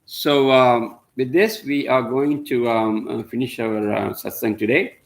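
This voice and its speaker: A man leads an online satsang, explaining slowly and patiently and restarting his sentences as he goes. His pitch sits in an ordinary middle range. He speaks through a computer microphone on a video call. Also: steady tone, vocal fry. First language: Tamil. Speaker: spiritual teacher leading satsang. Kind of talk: informal spiritual talk over a video call.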